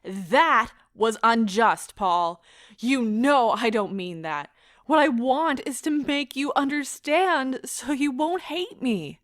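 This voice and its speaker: A woman playing an anguished, proud wife in an audio drama, speaking with deliberate emotional intensity. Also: a little high, lively intonation, a bit bright, dry recording, studio quality. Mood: pleading. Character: anguished, proud wife pleading as her marriage ends.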